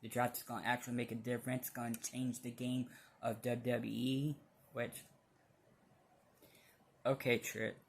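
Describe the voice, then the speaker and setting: Guy doing a casual fan vlog, real creaky gravelly voice, kinda flat, rambles and stops a lot, blunt opinions. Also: medium pitch, normal speed, pauses often, a little hiss or hum. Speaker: wrestling fan vlogger.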